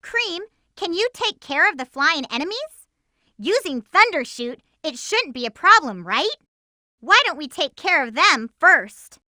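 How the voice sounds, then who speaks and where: A woman voicing an energetic, determined young girl heroine in a video game, with a perky, emphatic cartoon delivery. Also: very high voice, dry recording, studio quality, full character voice. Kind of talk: video game voice acting, tips and battle shouts.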